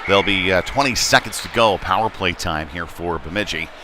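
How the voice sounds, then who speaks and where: A man doing live play-by-play of a youth hockey game in a halting, folksy, conversational way. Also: deep voice. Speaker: play-by-play commentator. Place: indoor ice rink during a game. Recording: noisy arena, clear rink ambience behind.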